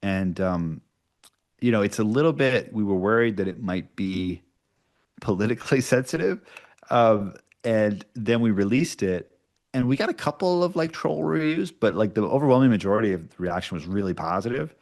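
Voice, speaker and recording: Guy with a really low voice, podcast guest, chatting casually, kinda self-deprecating. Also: expressive, moderate pace, a little mellow. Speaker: guest writer-producer of podcast audio dramas. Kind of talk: casual interview chat.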